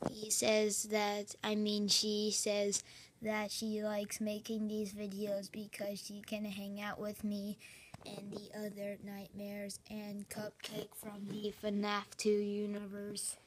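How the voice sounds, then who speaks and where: A woman voicing a helpful, slightly touchy nightmare fox toy in a halting, matter-of-fact way. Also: mid-range voice, monotone, background noise, lightly acted.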